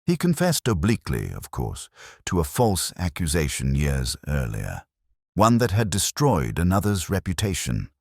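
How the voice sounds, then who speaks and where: A man narrating a first-person detective story in a measured, brooding, literary manner. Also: deep voice, huge pitch swings, gravelly, darkish tone, dry recording, studio quality.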